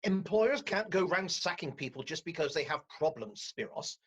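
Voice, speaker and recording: An actor playing a measured, patient but firm counselling team leader in a comedic radio play, speaking formally. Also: medium pitch, expressive, breathy edge. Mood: firm, reproving. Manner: formal, professional, admonishing. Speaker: team leader chairing a caseload meeting.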